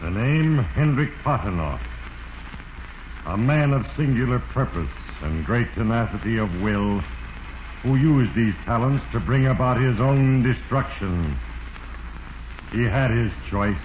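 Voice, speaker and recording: A man playing Fate, an ominous narrator of a 1940s radio drama, speaking in a grave, portentous, deliberate way. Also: medium pitch, animated, close to an everyday voice. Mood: grave, foreboding. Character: Fate, ominous male narrator judging doomed mortals. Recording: noisy, dull, echoey 1940s radio recording.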